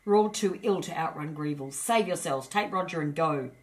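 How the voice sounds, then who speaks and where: A woman's voice: deep voice, normal speed, close to an everyday voice. A woman reader puts on a worried mother dragon in a children's story. She is protective and pleading, speaking with urgency. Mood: urgent.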